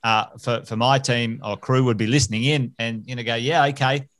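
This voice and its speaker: A man hosts a podcast and works his way into each question with plenty of fillers and restarts. His voice sits at an average middle pitch. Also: steady tone, a bit bright. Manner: halting, filler-heavy questions. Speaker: podcast host. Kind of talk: interview questions.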